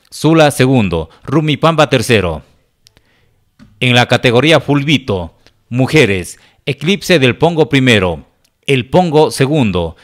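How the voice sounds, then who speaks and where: A man's voice: medium pitch, fairly bright tone. A man narrating a scripted local sports report. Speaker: news narrator.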